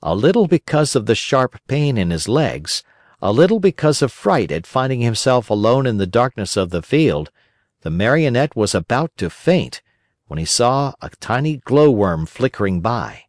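A man's voice: mid-range voice, sing-song, moderate pace, dry recording, studio quality. A man narrating a children's story as a scripted audiobook.

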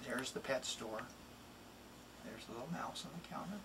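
A middle-aged man, a children's author, introducing and expressively reading a picture book in a deliberate, emphatic way. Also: mid-range voice. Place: small wood-panelled home office, indoors. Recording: distant mic, room noise.

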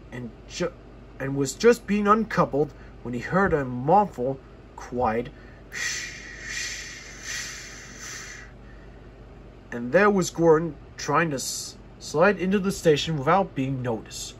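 Halting, high-pitched man reading slowly. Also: animated, slight background noise, rough recording. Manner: halting, stumbles and repeats phrases. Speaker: storybook reader. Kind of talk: reading a children's story aloud.

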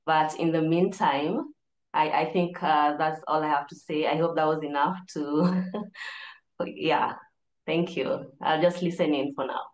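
A woman's voice: sits low, fairly even intonation, measured pace. A woman in her thirties with a Kenyan accent, casually introducing herself on a video call. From Nairobi, Kenya. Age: 30s. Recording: roomy, echoing video-call sound.

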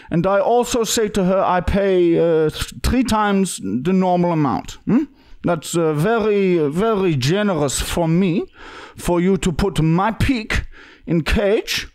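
Young man doing a pushy foreign caller, broken English, high-pitched, halting uh fillers, insistent. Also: darkish tone, lightly acted. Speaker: prank caller. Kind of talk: prank phone call. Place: small studio room indoors. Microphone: podcast mic on boom arm.

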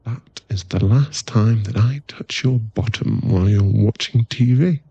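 Teasing, mock-offended boyfriend, acted by a man for intimate audio roleplay; mellow low voice, slightly muffled close recording. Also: slightly rough, no room sound. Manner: teasing, halting, intimate one-sided chat.

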